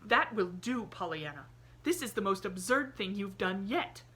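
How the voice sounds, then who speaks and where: A woman's voice: mid-range voice, lively intonation, moderate pace, fairly bright tone, husky. A woman reading an audiobook, voicing a prim middle-aged aunt who speaks sharply and disapprovingly. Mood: stern.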